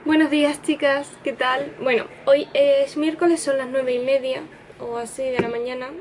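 A woman's voice: high voice, level tone, moderate pace, loud music behind the voice. A young woman with a Castilian Spanish accent chatting casually and effusively to camera in a small apartment. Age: young adult. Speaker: lifestyle vlogger. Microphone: handheld camera or camera on desk, close range.

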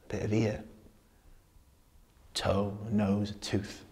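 An actor playing a grim, haunted army veteran telling war stories in a husky, plain-spoken, intimate dramatic monologue. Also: male voice, fairly low pitch, flat delivery, slow, darkish tone. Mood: haunted, subdued.

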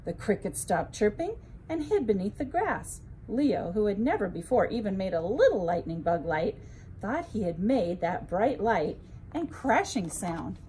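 A woman's voice: mid-range voice, sing-song, a little slow, warm tone, slight background noise, low-quality recording. A woman narrating a children's bedtime story expressively, as a librarian would at storytime.

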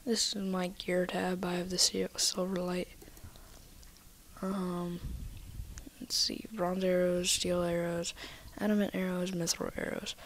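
Woman gamer, stuffy with a cold, low and slow, half-whispering item lists into a desk mic. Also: little pitch movement, a little hiss or hum. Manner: soft, half-whispered listing. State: slightly nasal from a cold. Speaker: gaming video maker. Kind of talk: casual game walkthrough.

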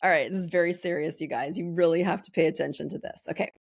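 A woman's voice: fairly low pitch, fairly even intonation, creaky, breathy edge, no echo, clean studio sound. A woman hosting a podcast interview, bubbly and playful in casual chat. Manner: bubbly, playful, quick bursts of enthusiasm. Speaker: podcast host.

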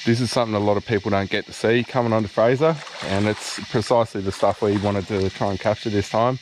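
Low-voiced man, outdoor vlog. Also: fairly even intonation, fast, noisy background. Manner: chatty, teasing. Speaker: host. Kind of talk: casual outdoor vlog chat. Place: outdoors on a tidal mudflat by the sea.

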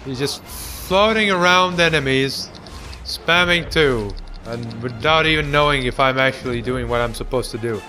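Young man, bright voice, sarcastic goofy gameplay commentary, game music underneath. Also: a little high. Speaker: let's play commentator.

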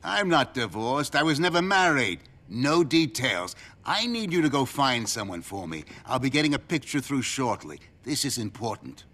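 Smug middle aged american spook boss from a video game, sarcastic, bossy, really animated pitch. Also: male voice, moderate pace, few pauses. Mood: smug, teasing. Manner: sardonic, commanding, clipped. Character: cynical, smug middle-aged government agent handler. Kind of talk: video game cutscene acting.

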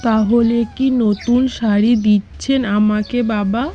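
Woman doing a young bouma in a family cartoon, flat melody, soft dark tone, muffled w faint music. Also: fairly high pitch, a little slow, close to an everyday voice. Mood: hurt. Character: young daughters-in-law, vain or dutiful, in family cartoon. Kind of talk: moral-story cartoon dialogue. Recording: dull lo-fi audio, faint music behind.

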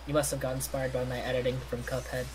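Male co-commentator, medium pitch, understated dry teasing, casual game chat, slight background noise. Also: completely flat delivery, fast, a little mellow, poor audio. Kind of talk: casual chat over gameplay.

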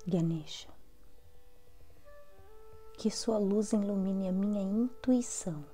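Very slow, soft Brazilian woman leading meditation. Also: medium pitch. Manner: measured, pausing between short phrases. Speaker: guided meditation leader. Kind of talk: guided meditation prayer, slow and devotional. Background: soft background music.

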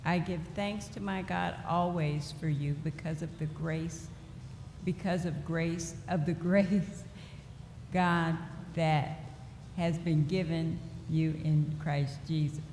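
A middle-aged woman reading scripture aloud at a church lectern in a slow, deliberate way. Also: low voice, steady tone, darkish tone. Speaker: lay reader. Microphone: lectern microphone. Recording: echoey church hall with faint noise.

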